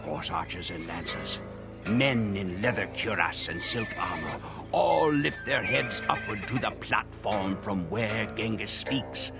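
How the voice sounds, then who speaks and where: A man voicing a grim Mongol warrior who narrates the campaign in measured, solemn storytelling for game cutscenes. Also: fairly low pitch, gravelly. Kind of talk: scripted dramatic narration. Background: music.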